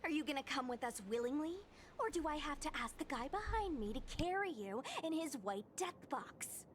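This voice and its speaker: A woman's voice: sits high, expressive, normal speed, slight background noise. A woman playing a brash, cheeky young female knight-healer who gives commands in a fantasy video game. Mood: bossy, impatient. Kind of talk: animated video game voice acting. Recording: game music behind.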